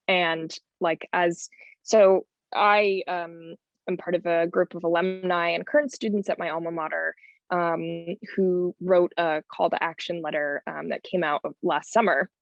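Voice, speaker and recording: A woman with a lowish voice answers a question thoughtfully, feeling her way through each sentence. The audio is dry and dull, as heard over a video call. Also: no room sound. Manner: thoughtful, searching, many fillers. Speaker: actress, panelist. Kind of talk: thoughtful roundtable discussion.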